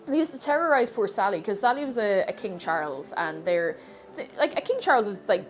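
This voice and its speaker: A woman's voice: medium pitch, brisk, soft background music. An Irish woman with a sniffly cold, chatting casually with viewers while cooking on a live stream. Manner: chatty and animated, thanks viewers warmly.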